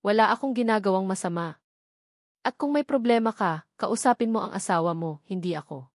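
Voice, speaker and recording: A woman's voice: mid-range voice, fairly quick, no echo, studio quality, close to an everyday voice. A Filipino woman storyteller voicing a proud, weary single mother with a firm, unyielding manner. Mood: defiant.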